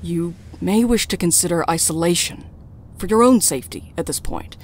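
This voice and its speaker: A woman playing a grave, deferential government adviser in an audio drama, formal and measured. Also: lowish voice. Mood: grave, cautioning.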